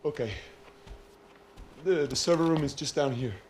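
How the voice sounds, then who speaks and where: Nervous young Brazilian man, acted, higher-pitched. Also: normal speed, a little mellow, a little hiss or hum, lightly acted. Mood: nervous, hesitant. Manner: hesitant, anxious. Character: nervous, timid young Brazilian office computer technician. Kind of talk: video game cutscene acting.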